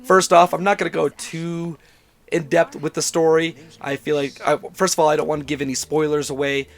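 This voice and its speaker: A man hosting a gaming channel, talking through a casual game review with a hoarse, worn-out voice after being sick. He sounds enthusiastic, rambles a bit and often corrects himself. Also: fairly high pitch, little pitch movement, brisk. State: hoarse, voice mostly gone after illness.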